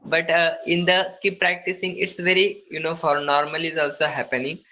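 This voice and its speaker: A man with an Indian accent practising conversational English, speaking haltingly in non-fluent phrases with broken grammar. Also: a little high, flat delivery, sharp tone, rough recording. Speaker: English learner. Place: online video call.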